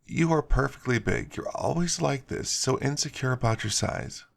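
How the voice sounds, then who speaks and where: A man's voice: mid-range voice, moderate pace, creaky, voice pushed up, natural voice. A man voicing a teasing, chiding woman in an ASMR story. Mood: scoffing. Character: teasing, scoffing woman werewolf-resort guest. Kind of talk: character dialogue in an ASMR story.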